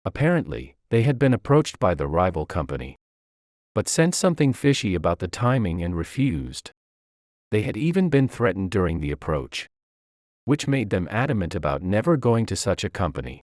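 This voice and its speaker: A man's voice: low voice, darkish tone, no room sound, clean studio sound. A man reading a scripted first-person story as narrator.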